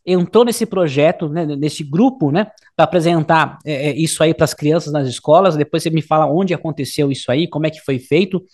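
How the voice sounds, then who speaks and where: A Brazilian man hosts a casual interview in a distinctly high-pitched voice. He rambles through his questions, starting over and rewording as he goes. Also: brisk, hardly any pauses, a little mellow. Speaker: interview host.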